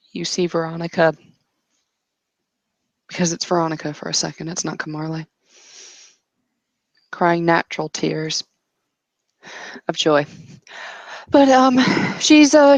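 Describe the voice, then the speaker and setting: A woman game master improvising narration for a roleplaying game in halting, dramatic phrases. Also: on the low side, no room sound, highs cut off.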